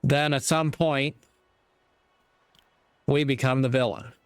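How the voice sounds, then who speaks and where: A man delivering a reflective motivational monologue in a measured, earnest way, with deliberate pauses. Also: mid-range voice, fairly even intonation, clear, resonant tone, dry recording. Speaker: speaker giving moral reflections.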